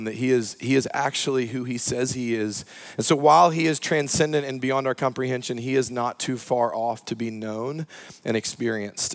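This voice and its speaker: A man with a hoarse voice, a pastor giving a sermon in a chatty, self-deprecating way, full of ums and uhs. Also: medium pitch, dry recording. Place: church service.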